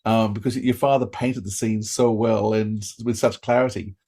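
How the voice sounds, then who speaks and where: A man's voice: on the low side, steady tone, normal speed, warm tone. Enthusiastic man hosting. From Australia. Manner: enthusiastic, rambling with restarts. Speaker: podcast host. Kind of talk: casual interview chat.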